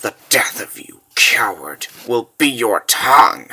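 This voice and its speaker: A man voicing a menacing, vengeful monk villain in a comic dub, speaking in a solemn, grandiose and deliberate way. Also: mid-range voice, sing-song, pushed and bright, slightly rough, pitched below the performer's own voice, heavily acted. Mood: threatening.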